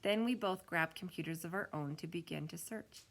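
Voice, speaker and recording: Female storyteller, low and creaky, expressive read-aloud for a children's novel. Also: normal speed. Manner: expressive reading with acted-out dialogue. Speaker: kindergarten teacher reading a children's novel aloud.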